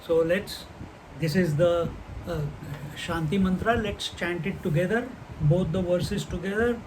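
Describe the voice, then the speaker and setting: An older man gives a scripture class in a fairly high voice, asking his listeners question after question. A faint hiss of noise sits under his voice. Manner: unhurried, posing rhetorical questions; chants Sanskrit verses slowly. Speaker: spiritual teacher.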